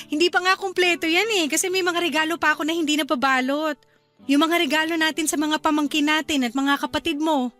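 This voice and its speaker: A woman playing a cheerful young wife and new mother in a radio drama, talking in a bright, chatty and eager way. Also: sits high, steady tone, a little fast, faint music behind.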